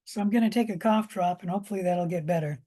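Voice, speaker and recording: A woman with a hoarse voice giving an informal biology lecture, explaining step by step with pauses and repetition. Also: on the low side, dark tone.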